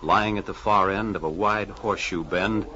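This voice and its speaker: A man's voice: low voice, fairly even intonation, normal speed, a crowd in the background. A man narrating a vintage radio drama in a formal, scripted announcer style. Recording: muffled, hissy old radio recording.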